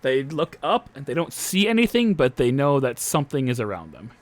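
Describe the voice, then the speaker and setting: A man's voice: medium pitch, big pitch swings, a little fast, a little hiss or hum. A young man narrating as dungeon master, hesitant, with frequent uh and restarts. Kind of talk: narrating a tabletop game.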